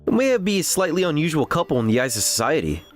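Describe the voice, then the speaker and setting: The earnest, teasing young boyfriend of a romcom manga dub, played by a man, his animated pitch leaping about over light background music. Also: fast talker. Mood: playful. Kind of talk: dramatized romantic comedy dialogue and inner narration.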